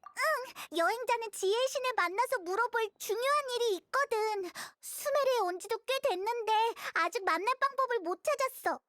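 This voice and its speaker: A woman voicing a tiny childlike fairy companion in a video game, curious, chatty and excitable. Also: very high-pitched, moderate pace, dry recording, studio quality. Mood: eager, curious. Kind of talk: scripted game dialogue, Korean voice acting.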